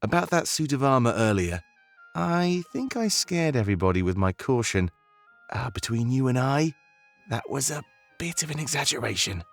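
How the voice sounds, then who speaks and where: A man's voice: medium pitch, sing-song, moderate pace, vocal fry, soft background music. A man voicing an earnest rebel guide in a visual novel game, conscience-stricken but with a playful streak, talking in a musing, conversational way. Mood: earnest, confiding.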